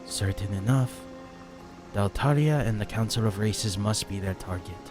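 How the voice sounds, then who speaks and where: A man playing a straightforward, grave military strategist who talks in a blunt, measured way. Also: lowish voice, music in the background, natural voice. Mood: analytical, resolved. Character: straightforward, grave male strategist, fisherman's son.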